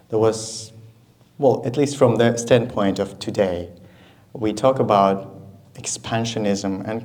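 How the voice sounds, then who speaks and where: Young man, Russian native speaker, lowish voice, conversational guest lecturer who engages the room with questions. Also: a little mellow. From Ukraine. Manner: conversational, asks the audience questions, frequent "right?". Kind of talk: university talk with slides.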